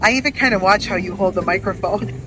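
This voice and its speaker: A young woman co-hosting a casual chat podcast, animated and quick to laugh as she tells stories. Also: mid-range voice, over music. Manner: chatty, animated storyteller who laughs often. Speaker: podcast co-host. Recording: noisy background hiss.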